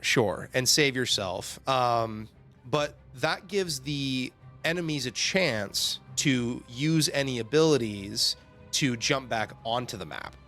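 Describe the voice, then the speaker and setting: A young man hosting a casual livestream, rambling and laughing often, his voice getting hoarse from talking. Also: medium pitch, a bit bright. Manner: chatty, rambling, laughs often, lots of fillers. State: losing his voice, throat-clearing. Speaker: livestream host. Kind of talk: casual game overview chat.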